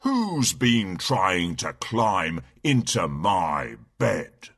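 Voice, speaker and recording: A man voicing a big, stern father bear with a husky voice in a storybook. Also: sits low, very animated, drawn-out words, a bit bright, heavily acted. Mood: indignant.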